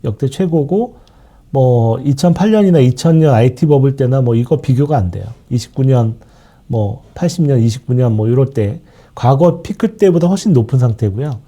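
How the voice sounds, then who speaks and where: A man lectures through a cold, his voice husky and very dark. His pitch rises and falls a lot as he explains point by point, padding his sentences with fillers and trailing asides. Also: animated, normal speed. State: sore throat from a cold. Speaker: fund manager lecturing on markets. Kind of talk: market outlook lecture.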